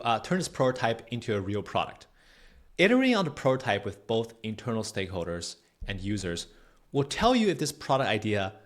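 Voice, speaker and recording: A man hosts a how-to tutorial with a medium-pitched voice. He talks conversationally as he explains each step on screen. He often tags his points with a quick check-in to the listener. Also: fairly bright tone. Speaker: tutorial host. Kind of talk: casual screen-share software tutorial.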